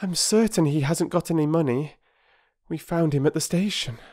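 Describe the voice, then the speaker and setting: A man's voice: on the high side, moderate pace, darkish tone, voice pushed up, close to an everyday voice. Man putting on an eager young girl. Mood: urgent. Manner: pleading, breathless.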